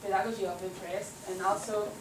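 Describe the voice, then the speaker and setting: A woman's voice: medium pitch, little pitch movement, quick pace. Hesitant woman presenter in an echoey room. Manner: hesitant, many fillers. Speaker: workshop presenter. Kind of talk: guided imagery then academic talk. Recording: echoey room from far off, loud noise.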